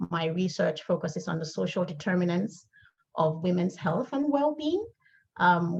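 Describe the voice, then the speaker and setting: A woman's voice: lowish voice, vocal fry, breathy edge. A woman from Nigeria co-hosting an online panel, introducing guests in an upbeat, enthusiastic way. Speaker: co-host. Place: video call. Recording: dull lo-fi call audio.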